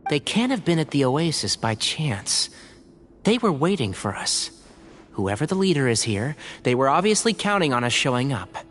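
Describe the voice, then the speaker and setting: A wary, analytical traveler. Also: male voice, mid-range voice, very animated, normal speed, a bit bright. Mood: thoughtful. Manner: cautious and analytical. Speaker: game character.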